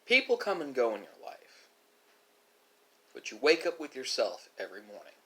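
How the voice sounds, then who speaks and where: A man delivering a reflective monologue, speaking philosophically with dramatic pauses and emphasis. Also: mid-range voice, wildly swooping pitch, fairly bright tone, poor audio. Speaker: video creator.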